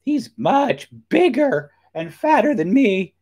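A man voicing a small, frightened but crafty young billy goat in a storybook, pleading in a high little voice. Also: sing-song, slow pace, a little mellow, exaggerated voice.

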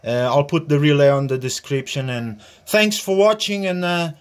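A man giving an informal hands-on demonstration as a tutorial host, speaking in a halting, hesitant way. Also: on the high side. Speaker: host of a hobby radio tutorial. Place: small indoor workbench area.

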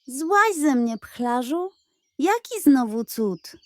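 A woman's voice: high-pitched, sing-song, slow, a little mellow, no room sound, pitched above the performer's own voice, exaggerated voice. A woman voicing a lazy, greedy, sly male pug in a children's storybook, drawling and mumbling, sugary when he schemes. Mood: grumpy.

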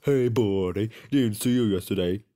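A popular schoolboy from an anime visual novel, voiced by a man. He is loud, breezy and friendly, with a cocky streak and a fairly low voice. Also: moderate pace, lightly acted. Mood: cheerful, cocky.

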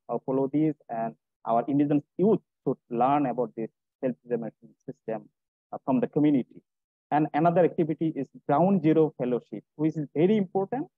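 A middle-aged man speaking haltingly as a panelist in a webinar, in non-native English. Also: mid-range voice, no echo. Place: indoor room, on a video call. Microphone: wired earphones on a video call. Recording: tinny, phone-like video-call audio.

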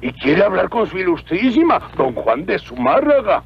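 An actor playing a haughty, scornful church steward in an animated film, husky and dismissive. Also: male voice, high-pitched, moderate pace, exaggerated voice. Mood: sarcastic. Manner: sarcastic, dismissive. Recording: dull lo-fi old film soundtrack.

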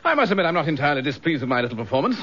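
A genteel English writer, wry and urbane, talking quickly in a lightish, lively voice. It is radio drama with a dull, faintly noisy sound. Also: male voice, a little high. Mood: amused, pleased. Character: urbane, self-deprecating male writer, wry, genteel amateur sleuth.

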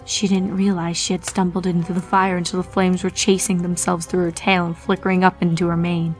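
A woman's voice: fairly low pitch, level tone, brisk, low-quality recording. A woman reading a horror story aloud as a dramatic scripted narration. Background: music.

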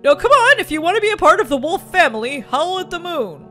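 A woman acts out a goofy, good-natured wolf dad from a children's game story. The delivery is hammy and drawn out, very high and bright for a father, swinging widely in pitch. Music runs behind. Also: very animated, a little fast, exaggerated voice. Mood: encouraging. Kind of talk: children's Roblox roleplay story.

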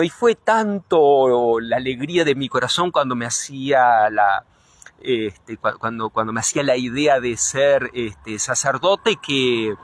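Halting middle-aged Argentine man. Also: mid-range voice. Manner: halting, searching for words, earnest. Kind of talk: reflective interview answer. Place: outdoors in front of a church. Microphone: handheld reporter microphone.

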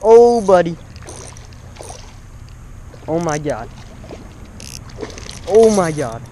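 A young man commenting live on his fishing outdoors by a pond, breaking into excited exclamations with every catch. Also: a little high, very slow, a little hiss or hum. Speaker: fishing vlogger.

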